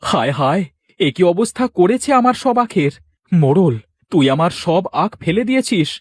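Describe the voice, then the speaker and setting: A man voicing an excitable village man in a children's cartoon folk tale. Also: high-pitched, normal speed, dry recording, clean studio sound. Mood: dismayed, vengeful. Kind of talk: children's cartoon story acting.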